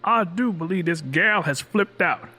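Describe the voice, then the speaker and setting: Skeptical country man, dismissive and folksy, voiced by a male narrator a bit above his own pitch. Husky with lively inflection, over faint background music. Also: measured pace, full character voice. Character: skeptical rural man, doubtful.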